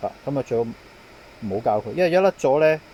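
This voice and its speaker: A man's voice: mid-range voice, expressive, a little fast, dark tone, low-quality recording. A man with a Hong Kong Cantonese accent explains a device setup informally as an installer, repeating his points as he goes. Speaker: intercom installer, technician. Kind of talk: informal product demonstration and handover explanation. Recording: clear background noise, on-site ambience.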